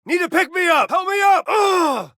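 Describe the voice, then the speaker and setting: A man playing a tough, wisecracking soldier in a video game, calling out loud, urgent battlefield lines. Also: very high-pitched, expressive, moderate pace, no echo, studio quality, heavily acted. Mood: strained, shouting. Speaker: video game player character voice. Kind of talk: scripted video game combat barks.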